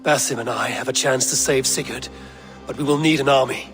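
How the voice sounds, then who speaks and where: An actor playing a bold, determined young Viking leader in a video game, resolute and formal in speech. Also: male voice, medium pitch, fairly even intonation, fairly quick, over music, close to an everyday voice. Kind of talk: dramatic video game acting.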